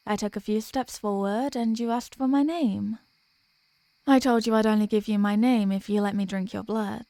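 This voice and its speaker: Woman voicing a theatrical high-voiced vampire girlfriend. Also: creaky, warm tone, dry recording. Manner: theatrical, pleading, mock-tragic. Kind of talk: scripted roleplay audio monologue.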